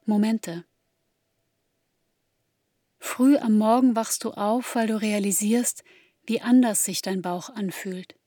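A woman acting as an intimate, grieving narrator in a literary radio play, speaking in a slow, measured way to a bereaved mother. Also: mid-range voice, fairly even intonation, dark tone, no room sound, studio quality. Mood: quiet grief. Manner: slow, measured, intimate second-person delivery.

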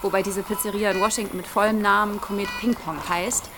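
An actress playing a hesitant young woman in a radio play, halting and conversational. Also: medium pitch, little pitch movement, noisy background, natural voice. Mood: hesitant, explaining. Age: young adult. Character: hesitant young woman patient, quietly worried. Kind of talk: German radio play acting.

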